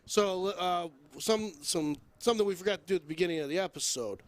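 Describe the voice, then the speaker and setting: Male podcast host, high-pitched voice, playful teasing banter, close dry mic. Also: a little fast, no echo.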